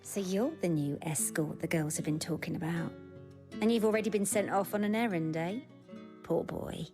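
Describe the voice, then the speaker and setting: A sardonic young British working girl, acted by a woman in a fantasy game cutscene. She is earthy and frank in a lowish voice, with music clearly audible behind her. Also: normal speed, close to an everyday voice. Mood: wry. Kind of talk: video game cutscene acting.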